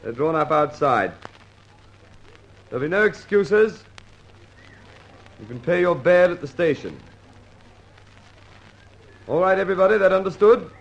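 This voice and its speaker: An actor playing a brusque police inspector barking official orders in an old-time radio serial. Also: male voice, sits high, animated, a little slow, gravelly, pauses often, highs cut off, exaggerated voice. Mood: commanding. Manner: loud, barked, official orders. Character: brusque, commanding male police inspector running a raid.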